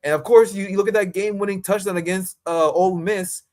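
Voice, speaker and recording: A man's voice: sits high, fairly even intonation, fast talker, a little mellow. A man in his twenties, a guest reporter on a sports podcast, talking emphatically and casually, often repeating himself. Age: 20s.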